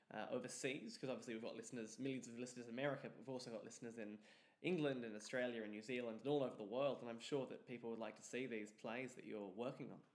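A male interviewer with a mid-pitched voice, recorded with a roomy echo around him. He puts his questions politely, feeling his way through the phrasing as he goes. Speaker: interview host. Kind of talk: radio-style interview questioning. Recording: roomy, echoey sound.

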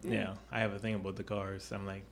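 A man's voice: lowish voice, level tone, fairly quick, gravelly, noisy background. A man co-hosting a podcast, chiming in with short remarks and easygoing questions. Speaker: podcast co-host. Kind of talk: casual chat.

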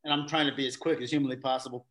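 A man's voice: mid-range voice, little pitch movement, very fast, fairly bright tone. A middle-aged man, a guest on an interview show, explaining his theater company's new work in a rambling way, often restarting his sentences. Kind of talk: casual interview talk.

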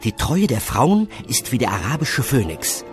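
Pedantic, very animated research assistant. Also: male voice, medium pitch, normal speed, music in the background. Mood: smug lecturing. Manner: lecturing, pedantic. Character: know-it-all male heavenly research assistant, earnest, pedantic, squabbling. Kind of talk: comedy radio play acting.

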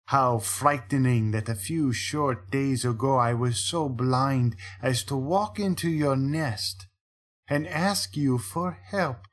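A man voicing a paranoid, malicious painter in an audiobook, speaking slowly and broodingly. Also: medium pitch. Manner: slow, brooding, menacing. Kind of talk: audiobook character reading.

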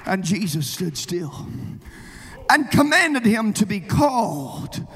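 A hoarse man preaching as youth pastor at a live church service, fervently calling out to the congregation. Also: high-pitched, big pitch swings, takes it slowly, fairly bright tone. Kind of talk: Pentecostal-style preaching. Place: church sanctuary. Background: organ music.

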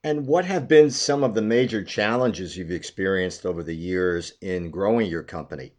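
A man's voice: low-pitched, normal speed. A man asking structured questions as the interviewer in a business interview.

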